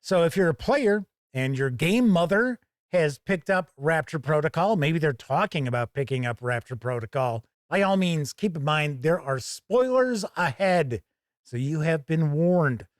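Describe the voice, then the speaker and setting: A man hosting a gaming channel, giving a conversational review to camera in a folksy, measured way with emphatic phrasing. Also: on the high side, expressive, no room sound, studio quality.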